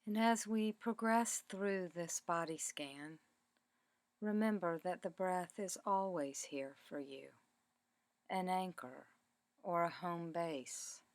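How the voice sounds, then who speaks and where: A woman leading a guided meditation in a calm, deliberate way, with measured phrases and pauses. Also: lowish voice, no echo. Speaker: meditation teacher. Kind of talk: guided meditation, body scan narration.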